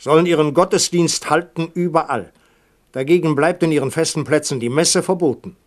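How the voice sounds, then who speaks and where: A man's voice: fairly high pitch, brisk, natural voice. A man playing a scheming, insistent duke in a radio drama, speaking in a pressing, calculating way. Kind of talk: 1970s radio drama acting.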